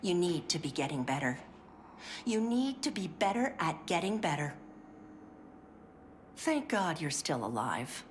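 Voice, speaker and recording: A chirpy, fraying 1950s clinic worker. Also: female voice, on the low side, a little slow, long pauses. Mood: frayed. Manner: sugary, polite, breaking into whispers. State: strained and pill-addled. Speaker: game character voice lines. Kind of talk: scripted game voice acting. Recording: steady background noise.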